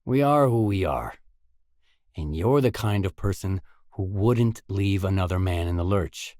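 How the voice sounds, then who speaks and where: Low, slow, resolute game hero. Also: male voice, a little mellow, no room sound, clean studio sound. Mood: solemn, resolute. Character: earnest, determined male stalker in a post-apocalyptic zone. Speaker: video game protagonist. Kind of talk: scripted video game dialogue.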